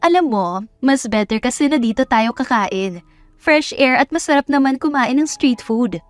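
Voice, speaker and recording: A woman's voice: sits high, lively intonation, moderate pace, soft background music. A woman voicing a feisty young female best friend in an audio romance, bantering and playfully scolding. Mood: playful coaxing. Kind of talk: audio romance story character voice.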